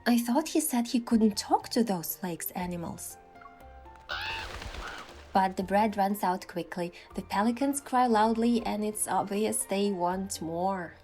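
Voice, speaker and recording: A woman reading scripted first-person story narration in an expressive way, acting out the lines as a heroine. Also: mid-range voice, a little slow, soft background music. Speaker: narrator voicing a game's heroine.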